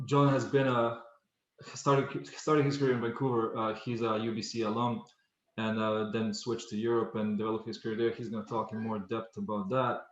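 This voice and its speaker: A man who is a student club host, opening an event with a formal, hesitant introduction full of fillers over a video call. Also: mid-range voice. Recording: echoey room, dull lo-fi call audio.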